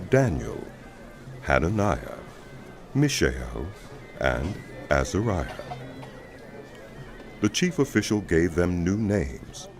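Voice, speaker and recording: Very deep solemn male Bible narrator. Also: gravelly. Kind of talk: scripted dramatic Bible narration.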